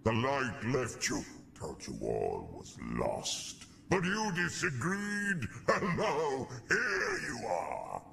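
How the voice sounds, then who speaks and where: An actor playing a pompous, decadent alien emperor in a video game, with a gravelly, booming, theatrical voice that slides down to whispers as he savors his words. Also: huge pitch swings, takes it slowly, few pauses, pushed and bright, a little hiss or hum, exaggerated voice. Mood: fond. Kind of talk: video game cutscene dialogue.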